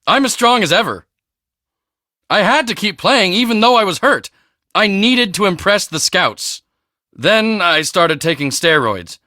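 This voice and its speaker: A man playing a guarded teenage high school football player in scripted video game lines. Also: very animated, measured pace, pushed and bright, no echo, studio quality, heavily acted. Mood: confiding, regretful.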